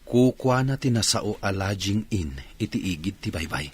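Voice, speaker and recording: A man's voice: fairly low pitch, animated, normal speed, slightly rough. A man playing a humbled young jobseeker in a radio drama, speaking in a hesitant, deferential way. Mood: earnest. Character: humbled young man from a bankrupt family seeking work.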